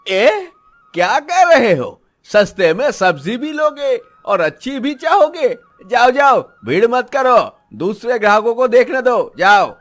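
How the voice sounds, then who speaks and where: A man's voice: very high voice, very animated, unhurried, a bit bright, faint music behind, heavily acted. A man playing an emphatic, melodramatic vegetable seller in a moral-story cartoon. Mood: brusque, scornful. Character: scheming, jealous male vegetable seller, later remorseful.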